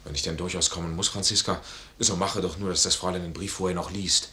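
Casting: honorable, stubborn major, bright forceful tone, lowish pitch, formal stage delivery, vintage radio drama. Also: male voice, fairly even intonation, quick pace, a little hiss or hum. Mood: anguished. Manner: formal, emphatic stage diction. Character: proud, honorable, troubled army major refusing charity. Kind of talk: 1950s German radio play acting.

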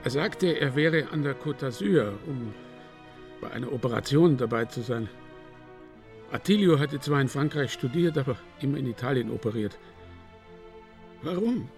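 A man's voice: mid-range voice, expressive, normal speed, pauses often, darkish tone, faint music behind, lightly acted. A man playing a puzzled, suspicious witness with a questioning delivery in a radio crime drama. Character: puzzled, suspicious man recalling a phone call.

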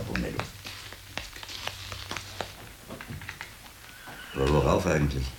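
An actor playing a shrewd, commanding middle-aged monk detective in a radio drama, deliberate and wry with a learned air. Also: male voice, a bass voice, vocal fry, noisy background, heavily acted. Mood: wry, brooding. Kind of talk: German radio drama acting.

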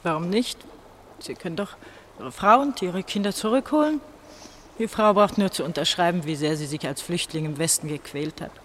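A woman's voice: lowish voice, normal speed, lightly acted. An actress as a despairing feverish young woman, faint noise. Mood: bitter. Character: despairing eighteen-year-old East German girl, feverish and bitter. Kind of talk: German radio play acting.

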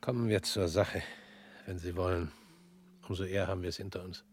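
An actor in a radio drama playing a stern male revolutionary who speaks in measured, pointed lines and often drops to a hushed whisper. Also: low-pitched, fairly even intonation, a little hiss or hum, lightly acted. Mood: probing. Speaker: revolutionary visitor.

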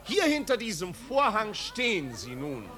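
Pompous high-voiced marquis. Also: male voice, huge pitch swings, measured pace, sharp tone, exaggerated voice. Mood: pompous, festive. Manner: pompous, ceremonious. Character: snobbish, pompous marquis hosting a lavish costume party. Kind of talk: 1950s German radio play acting. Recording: 1950s radio recording, noisy crowd. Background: noisy party crowd.

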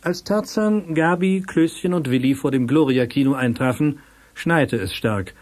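A man's voice: on the high side, unhurried, a little mellow. A man reading scripted narration for an audio drama. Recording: music under the voice. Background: music.

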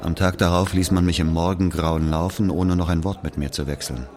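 A man's voice: low voice, steady tone, brisk, loud music behind the voice, lightly acted. An actor playing an aging Algerian man bitterly recounting his past in a radio drama, in a brooding, confiding manner. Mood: sardonic, brooding. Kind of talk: dramatic first-person narration in German.

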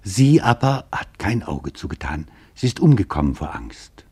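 Low-voiced, sober male documentary narrator. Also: big pitch swings, mellow, slightly rough. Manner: sober, measured, formal. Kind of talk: scripted documentary narration for a radio feature.

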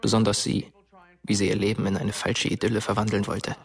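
An actor playing a bleak, bitter narrator in scripted German radio drama. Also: male voice, fairly low pitch, flat delivery, a little fast, vocal fry. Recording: distant from the microphone.

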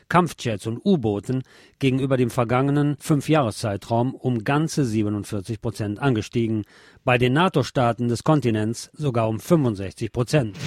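A man's voice: medium pitch, a little mellow, no room sound. A man presents scripted political news on the radio in a mid-range voice. He reads reports and commentary. The sound is very dry, with no audible room.

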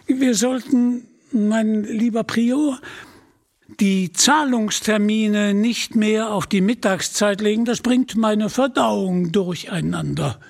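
A man's voice: sits high, slow pace, creaky, darkish tone, pitched above the performer's own voice, full character voice. A man voicing a greedy, pompous medieval bishop in an audio storybook, with condescending mock courtesy. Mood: smug, chiding.

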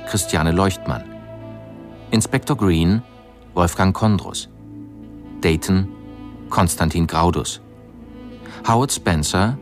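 A man reading a formal scripted announcement of radio play credits in a measured, matter-of-fact way. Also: low voice. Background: music under the announcement.

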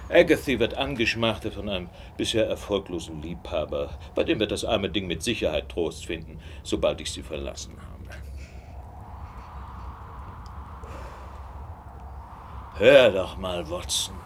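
Suave, animated male detective. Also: low voice, moderate pace, slight background noise. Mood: breezy, persuasive. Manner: theatrical, suave, teasing. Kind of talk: German radio drama acting.

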